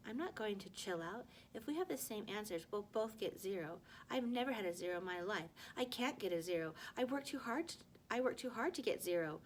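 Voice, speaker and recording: A woman voicing a panicked, indignant overachieving middle-school girl in a storybook, loudly protesting. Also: mid-range voice, animated, fairly quick, hardly any pauses, slight background noise, close to an everyday voice. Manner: loud, protesting, bawling.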